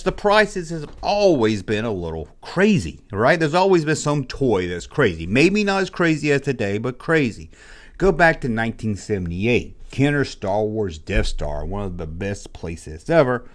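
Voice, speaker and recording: A man hosting a toy collecting channel, ranting bluntly in a casual, exasperated way, his voice hoarse from being sick. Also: on the low side. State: hoarse, losing his voice after illness. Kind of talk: casual opinion rant to camera.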